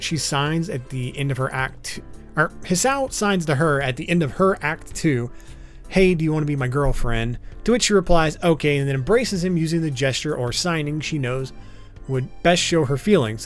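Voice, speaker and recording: Higher-pitched man narrating, lively intonation. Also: normal speed. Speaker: video essay narrator. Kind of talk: informal scripted commentary on a list of topics. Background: background music.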